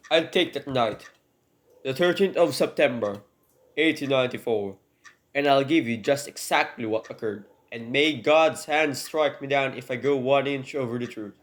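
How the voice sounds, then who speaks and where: A man's voice: mid-range voice, normal speed, natural voice. A man acting as poor convicted burglar, male, embittered, earnest, formal wording, emphatic, radio drama monologue. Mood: earnest recounting.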